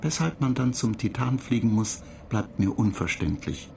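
Pedantic ship computer, gravelly, detached, terse, radio drama. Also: male voice, mid-range voice, animated, normal speed, dark tone, slight background noise. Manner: dry, lecturing, terse.

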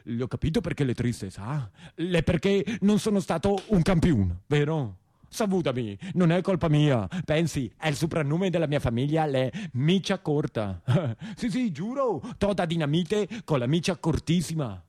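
A man's voice: on the high side, expressive, normal speed, mellow, dry recording, clean studio sound. An actor playing a bashful, clumsy young northern Italian man with a Lombard accent, stammering and apologetic in a theatrical monologue. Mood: sheepish, excited.

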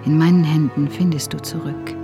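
An actress playing a tender, longing woman in a radio play, giving a poetic monologue in a lyrical, sometimes hushed way. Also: deep voice, fairly even intonation, normal speed. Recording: ambient music under the voice. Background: soft new-age music.

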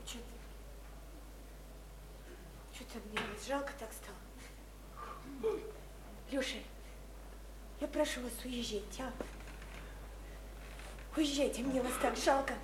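A woman's voice: high voice, unhurried, long pauses. An actress playing a meek, pitying, God-fearing simple woman who pleads artlessly and repetitively in a theatrical radio play. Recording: roomy, distant, noisy stage-like radio play recording.

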